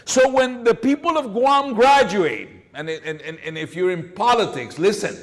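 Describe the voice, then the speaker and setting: A man's voice: sits high, lively intonation, normal speed. An older man lecturing at a public seminar, speaking emphatically and repeating words to drive points home. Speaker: guest speaker, educator. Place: lecture hall, at a podium. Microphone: podium microphone.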